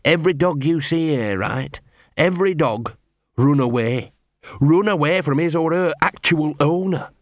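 A man's voice: fairly high pitch, expressive, a little slow, dry recording, clean studio sound, pitched above the performer's own voice. A man voicing a small, fearful talking dog, moaning and muttering miserably in an audiobook. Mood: miserable muttering. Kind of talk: audiobook character voice.

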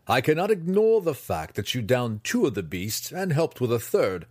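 A man reading an audiobook, voicing a harsh, furious male dark elf weapons master who snarls and shouts his words out slowly. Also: medium pitch, huge pitch swings, breathy edge, pitched above the performer's own voice, heavily acted. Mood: snarling. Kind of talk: audiobook character voice.

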